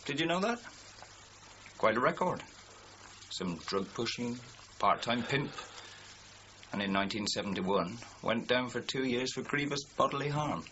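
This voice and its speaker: A man's voice: medium pitch, lively intonation, unhurried, pauses often, bright, forceful tone. A man playing a dogged British police detective sergeant in a radio crime drama, brusque and matter-of-fact. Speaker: actor. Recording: muffled audio with faint noise.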